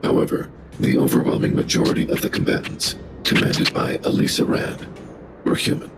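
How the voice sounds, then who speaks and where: A man voicing a big, formal combat robot in a measured, emotionless, synthetic manner. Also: background noise. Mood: impassive, formal. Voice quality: very deep, gravelly. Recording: loud music behind.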